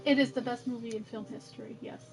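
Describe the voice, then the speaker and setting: A woman's voice: a little high, steady tone, fast talker, warm tone, faint music behind. A woman chatting casually as a companion on a game stream, teasing and insistent. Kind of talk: casual chat.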